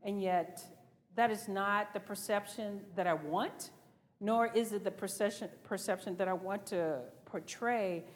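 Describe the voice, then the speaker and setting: A woman's voice: mid-range voice, measured pace. A woman leading a group session in an earnest, conversational way, putting reflective questions to her listeners. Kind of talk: reflective talk to a group with discussion instructions. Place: large reverberant room, speaking to a group. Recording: noisy hall with long reverb.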